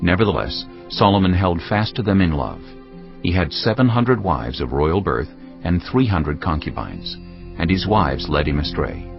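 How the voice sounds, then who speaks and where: Male narrator for dramatised scripture: low voice, solemn measured storytelling, slightly dull recording with music underneath. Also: big pitch swings, creaky.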